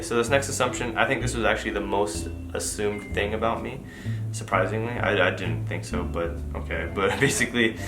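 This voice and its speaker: A young man whose first language is Tagalog, with a slight Filipino accent, chatting casually to camera as a vlogger with lots of fillers and restarts. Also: sits low. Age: young adult. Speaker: vlogger answering viewer assumptions. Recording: echoey room with music underneath.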